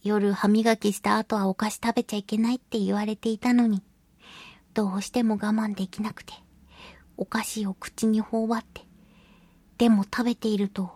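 A woman hosting a solo radio show, chatting in an animated, self-deprecating storytelling manner. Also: mid-range voice, moderate pace, dry recording. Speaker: radio show host and voice actress.

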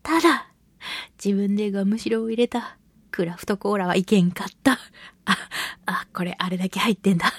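A woman hosting a casual solo radio talk and reading listener mail, chatty, giggly and expressive, with a slightly breathy voice. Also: mid-range voice, a little fast, no room sound. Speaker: radio show host and voice actress.

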